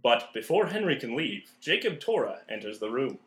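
A man acts as a mock-serious narrator for a comic radio play. He reads scripted links in a bright voice with noticeable room echo. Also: mid-range voice, big pitch swings, normal speed, voice pushed down.

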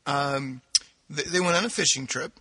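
A man's voice: on the high side, moderate pace, pushed and bright, no echo. A man co-hosting a podcast, chatting casually and telling jokes with a giggly manner. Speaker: podcast co-host.

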